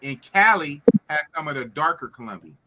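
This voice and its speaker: A man's voice: a little high, animated, brisk. A man hosting a casual live stream in a slangy way, repeating phrases for emphasis. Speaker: podcast host. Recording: clicky, clearly noisy stream audio.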